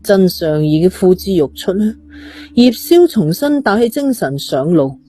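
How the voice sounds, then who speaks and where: A woman's voice: fairly low pitch, big pitch swings, a little slow, warm tone, slightly rough, faint music behind. A woman with a Cantonese accent reading a scripted story dramatically as an audiobook narrator.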